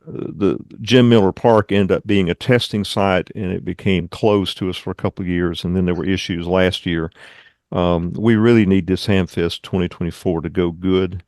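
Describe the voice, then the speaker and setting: Man, deep-ish voice, informal meeting host, rambling and conversational, dry video-call sound. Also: steady tone, moderate pace, a little mellow, dry recording. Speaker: radio club meeting leader and presenter. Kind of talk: informal club meeting announcements.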